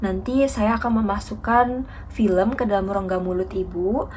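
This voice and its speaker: A woman's voice: medium pitch, fairly even intonation, normal speed, darkish tone, slight background noise. A young woman giving a polite, methodical, formal step-by-step demonstration as a student. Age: young adult. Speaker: dental student demonstrating a radiography procedure. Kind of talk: instructional skills demonstration, step-by-step explanation.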